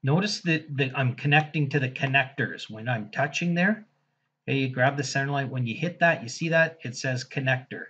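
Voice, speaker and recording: A man teaching software in a casual screen-recorded tutorial, thinking aloud as he clicks through each step. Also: mid-range voice, normal speed, husky, dull audio. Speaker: software instructor.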